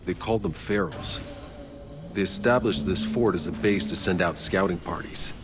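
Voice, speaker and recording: A low, gruff soldier from a video game, worn down and sparing with words. His remarks come out dry and sardonic, with music playing clearly behind him. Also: moderate pace. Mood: matter-of-fact. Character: gruff, weary male soldier scouting a hostile world. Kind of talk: video game cutscene acting.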